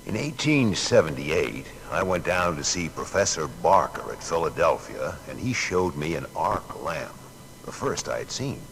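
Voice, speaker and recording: An actor playing a proud male inventor recounting his discoveries in a deliberate, declamatory, gravelly voice for an audio biography. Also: low-pitched, very animated, fairly bright tone, slight background noise, exaggerated voice, rough recording. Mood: earnest reminiscing. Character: Thomas Edison, American inventor recounting his discoveries with pride. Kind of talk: dramatised first-person narration.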